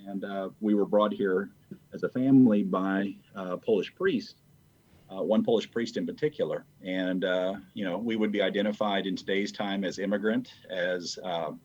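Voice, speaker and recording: Male American panel guest, deep voice, reflective and measured, pausing often, on slightly muffled audio. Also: steady tone, a little mellow. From rural south Texas. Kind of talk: reflective family storytelling.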